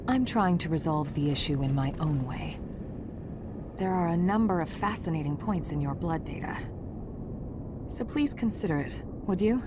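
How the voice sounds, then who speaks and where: A woman playing a caring young immortal researcher in a video game, speaking in a gentle, reassuring and measured way. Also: low-pitched, animated, noisy background. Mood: earnest. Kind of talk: video game cutscene acting. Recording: loud music behind the voice. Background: loud background music.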